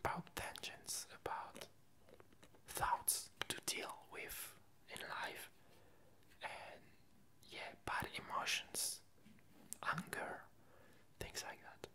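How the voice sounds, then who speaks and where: A woman whispering a casual ASMR chat in a breathy, halting way with long pauses. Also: pushed and bright. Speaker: ASMR video creator.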